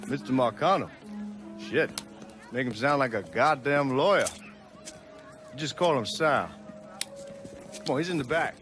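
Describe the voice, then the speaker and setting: An actor playing a brash, jovial young mobster's son in a video game, boisterous, cocky and slangy. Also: male voice, medium pitch, expressive, unhurried, long pauses, over music, lightly acted. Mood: teasing. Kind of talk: video game cutscene acting.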